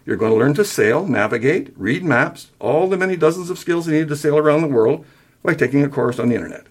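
Man as exasperated adult son, story reading, emphatic, sarcastic, highly animated intonation. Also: brisk, husky. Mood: reasoning, sardonic. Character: exasperated, reasonable grown-up son, patient turning incredulous. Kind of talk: acted dialogue in a story reading.

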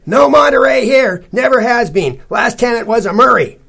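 Man putting on a shrill, brusque landlady. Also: animated, normal speed, gravelly, husky, full character voice. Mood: irritated, brusque. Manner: loud, curt, dismissive. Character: brusque, stout, suspicious lady landlord in curlers. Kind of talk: storybook reading.

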